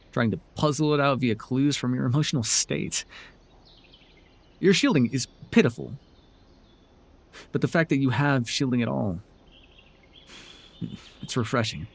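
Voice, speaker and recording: Man playing a sardonic, husky-voiced supervillain, theatrical and animated. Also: mid-range voice. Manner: sarcastic, theatrical, taunting. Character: sardonic male telepathic supervillain, menacing yet curious. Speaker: voice actor in audio roleplay. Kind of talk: dramatic ASMR roleplay acting.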